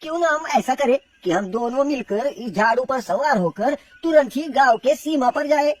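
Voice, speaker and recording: Sly male woodcutter, acted by a narrator, storybook trickster: high, coaxing, boastful voice, a little off-mic. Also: expressive, moderate pace, no echo, far from the mic. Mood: placating, sly. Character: sly male woodcutter posing as a child-eating sorcerer. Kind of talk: dramatised storybook horror tale for children.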